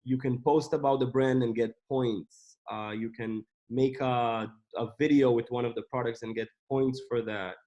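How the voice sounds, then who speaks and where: A man's voice: mid-range voice, moderate pace, hardly any pauses. Guy answering interview questions, easygoing and explaining, some ums. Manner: relaxed and explanatory, with fillers. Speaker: company co-founder and CEO.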